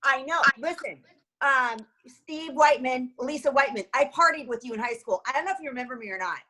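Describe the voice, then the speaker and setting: A woman's voice: high voice, fairly quick, bright, forceful tone. A woman in her fifties, co-hosting on a video call, chatting in a bubbly, laughing way. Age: 50s. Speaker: co-host. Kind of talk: casual video call chat. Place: home room with bookshelves.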